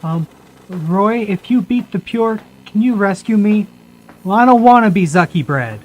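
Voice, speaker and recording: A man's voice: sits high, expressive, measured pace, highs cut off. An actor playing a timid, anxious young male tagalong in a comic radio drama, nervous and meek. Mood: meek pleading.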